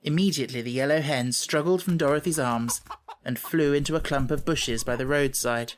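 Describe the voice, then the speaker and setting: A man's voice: mid-range voice, brisk, a little hiss or hum. A man narrating a children's story as a scripted audiobook reading.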